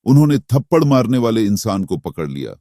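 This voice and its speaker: Brief: a male horror storyteller with a dark, mellow tone and dry, close sound. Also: on the low side, very animated, fairly quick, dry recording. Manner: dramatic, suspenseful. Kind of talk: scripted narration.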